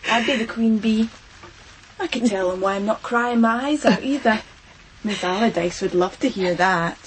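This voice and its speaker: A woman's voice: medium pitch, normal speed, slight background noise, lightly acted. An actress playing a defiant, spiteful teenage schoolgirl, mocking and taunting in a radio drama. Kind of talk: broadcast radio drama acting.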